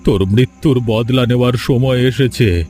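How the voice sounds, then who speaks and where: A man's voice: mid-range voice, normal speed, mellow, soft background music, close to an everyday voice. A man voicing a commanding village master in a Bengali-style cartoon drama, a grieving father bent on revenge, speaking melodramatically. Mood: anguished, pleading.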